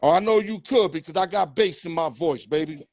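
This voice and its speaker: High-voiced slangy sports talk host. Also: male voice, brisk, darkish tone, no echo. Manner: loose, joking, streetwise slang. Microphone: headset or earpiece.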